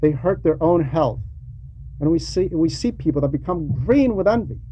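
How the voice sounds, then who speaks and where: A man giving a sermon in a halting, explanatory and admonishing way, with conversational asides. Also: a little high, animated, mellow. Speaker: preacher reading a translated homily. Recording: dull lo-fi recording with faint noise.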